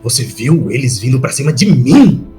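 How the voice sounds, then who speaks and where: A man's voice: mid-range voice, sing-song, normal speed, music in the background, close to an everyday voice. A man with a Brazilian accent playing an angry, wounded, vengeful rocker in a cyberpunk audio drama, terse and sarcastic. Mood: cold anger.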